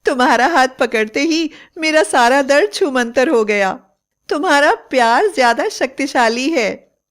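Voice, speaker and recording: A woman puts on a young wife from a bedtime tale, high and unhurried. She is sweet and coaxing, full of affection, with something eerie and witchy underneath. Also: lively intonation. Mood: sly, sweet. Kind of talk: dramatized Hindi storybook acting.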